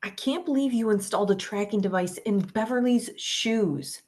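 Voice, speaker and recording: Woman as a practical search partner, matter-of-fact, conversational, medium pitch, script table read. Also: moderate pace, darkish tone, close to an everyday voice. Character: practical female car passenger on a tense search.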